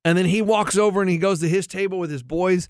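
A man with a fairly high voice hosts a casual comedy sports podcast. He is excitable, circles back over his phrases and acts out both sides of conversations. The sound is close and very dry. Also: quick pace, dry recording. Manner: excitable, repeats himself, acts out dialogue. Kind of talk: casual comic banter and storytelling.

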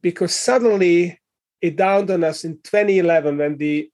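Middle-aged man, Hungarian accent, fairly high voice; thoughtful interview guest, lots of "uh" and restarts. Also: normal speed, a little mellow. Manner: thoughtful, many fillers and restarts. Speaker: guest, leadership coach and author. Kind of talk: conversational interview answer.

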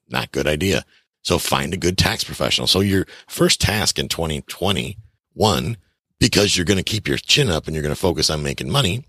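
A man's voice: a bass voice, fairly bright tone, breathy edge, no echo, studio quality. A man in his fifties hosting a podcast, giving blunt, chatty advice on real estate and taxes straight to his listeners. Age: 50s. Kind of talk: casual advice monologue.

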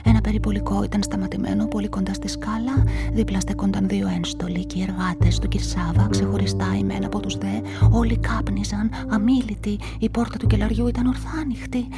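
An actress narrating as a woman suspected in a crime, in a hushed, whispery inner-monologue manner, in a radio play with a music bed. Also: mid-range voice, steady tone, few pauses, close to an everyday voice. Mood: anxious. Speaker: narrator and witness.

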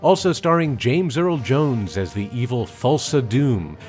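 A man's voice: medium pitch, expressive, unhurried, a little mellow. A man co-hosting a film-music podcast, reading credits and joking in a dry, mock-formal way. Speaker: podcast co-host. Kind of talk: casual podcast chat and credits rundown.

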